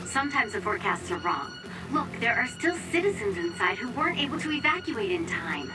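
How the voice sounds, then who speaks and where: An actress playing a caring young mission operator in an anime video game, informative and concerned. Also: female voice, on the high side, big pitch swings, fairly quick. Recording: distant sound, loud music under voice. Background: game soundtrack music.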